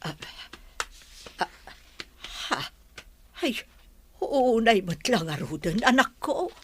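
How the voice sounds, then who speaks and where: A woman's voice: on the high side, big pitch swings, slow, a little hiss or hum. A woman acting as an anxious, fretful mother in a radio-style drama, questioning with concern.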